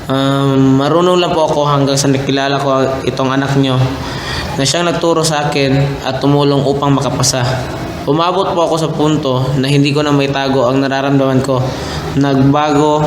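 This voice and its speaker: A man's voice: medium pitch, fairly even intonation, moderate pace, natural voice. A young Filipino man acting an earnest, polite and devoted boyfriend in an amateur radio drama. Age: young adult. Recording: roomy, echoey, noisy homemade recording.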